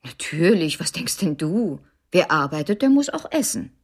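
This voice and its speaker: A husky, lowish woman's voice plays a stern, weary mother in wartime. Her radio drama delivery is brisk and admonishing, with very lively swings in pitch. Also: heavily acted. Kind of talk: German radio drama acting.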